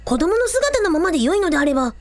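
Woman as a high-voiced child dragon prince. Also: sing-song, rapid-fire, dry recording. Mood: agreeable. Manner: childlike with quaint old-fashioned lordly phrasing. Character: eager young dragon prince in child form. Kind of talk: radio drama acting.

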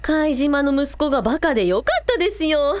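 A woman's voice: high voice, expressive, a little fast, no echo. A woman playing a frank, confident older sister in a radio drama, blunt and casual but able to turn formal. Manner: blunt, casual, formal when addressing royalty.

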